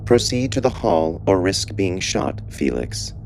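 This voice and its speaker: Man doing a deep, cold guard probe. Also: big pitch swings, unhurried, a little mellow, slight background noise. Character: cold armed guard probe, obedient and menacing.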